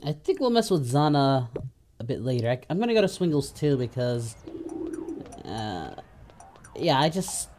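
A man's voice: medium pitch, big pitch swings, moderate pace, pauses often, a little mellow, a little hiss or hum. A man doing playful casual game commentary as the host, in a cartoonish character voice, exclaiming and muttering. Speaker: gaming host.

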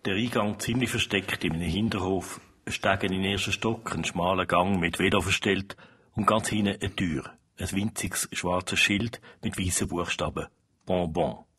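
A man reads a scripted description slowly, in a measured list-like rhythm, with a Swiss German accent. His voice is very deep yet bright and forward. It carries a husky grain. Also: fairly even intonation.

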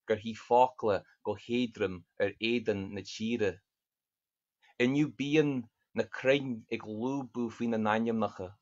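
A man's voice: lowish voice, fairly even intonation, darkish tone, highs cut off. A man. He is a poet reading his work and introducing it in an earnest, thoughtful way from a home study over a video call. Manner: earnest, thoughtful, reads verse slowly and deliberately. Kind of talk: poetry reading with spoken introductions. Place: home study lined with bookshelves, indoors. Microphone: video call webcam.

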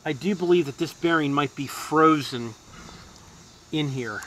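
A man's voice: fairly high pitch, unhurried, a bit bright, a little hiss or hum. A man narrating a casual do-it-yourself repair outdoors, thinking aloud with fillers and restarts, recorded on a head-mounted camera. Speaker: DIY mechanic. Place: outdoors in a yard. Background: crickets and insects.

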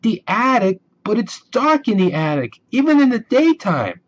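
Storybook little girl bear cub, played by a man: high, urgent, easily frightened, slightly muffled recording. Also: sing-song, normal speed. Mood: nervous, doubtful.

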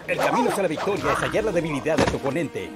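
A man's voice: fairly high pitch, expressive, racing, lightly acted. Man voicing a stern, swooping old kung fu master. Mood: commanding. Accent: Latin American. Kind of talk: animated film fandub acting. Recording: loud film music behind.

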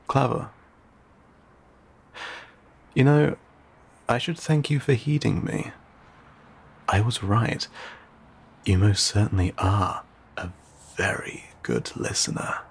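A low-pitched, husky old vampire, acted by a man, speaking slowly in an intimate audio drama. He is courteous and teasing, with a lonely hunger beneath his archaic, formal words. His breathy delivery often sinks toward a whisper. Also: exaggerated voice. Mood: sly, teasing. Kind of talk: intimate audio roleplay addressed to the listener.

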